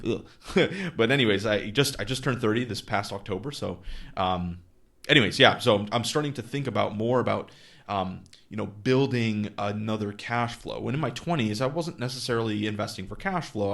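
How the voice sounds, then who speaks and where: A man's voice: on the low side, normal speed, creaky, a bit bright. A man in his thirties casually explaining his investing goals, thinking aloud with fillers and acting out conversations with clients. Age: 30s. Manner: acts out dialogue with clients in quotes. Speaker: investing channel host.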